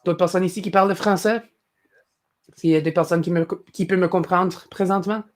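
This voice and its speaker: Young male streamer, higher-pitched, loose rambling chat with restarts. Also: steady tone, quick pace. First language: English. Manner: rambling, chatty, many fillers and restarts. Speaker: live stream host. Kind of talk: casual live commentary chat.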